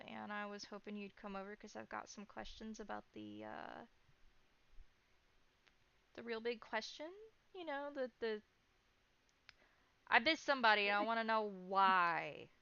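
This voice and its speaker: A woman's voice: a little high, gravelly, bright, forceful tone, clean tone, exaggerated voice. A woman voicing a hesitant, lonely woman in a tabletop roleplay, pleading informally on a phone call.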